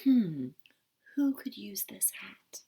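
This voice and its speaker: A woman's voice: medium pitch, very animated, takes it slowly, vocal fry, very noisy, heavily acted. A woman voicing a friendly, curious little male squirrel in a storybook, musing and wondering to himself. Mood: pondering.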